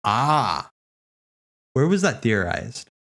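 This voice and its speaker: A man's voice: mid-range voice, very animated, slow pace, gravelly, fairly bright tone. A man streaming casual, rambling commentary, thinking aloud as he hosts, often repeating his words. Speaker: streamer hosting a watch party.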